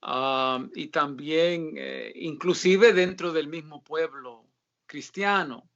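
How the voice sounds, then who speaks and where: A man with a bright, sharp voice answers questions in a casual religious interview. He speaks haltingly and pads his thoughts with filler sounds and pauses. The audio is dull and lo-fi. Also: fairly high pitch. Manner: reads scripture aloud in a steadier tone. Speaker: guest author answering questions.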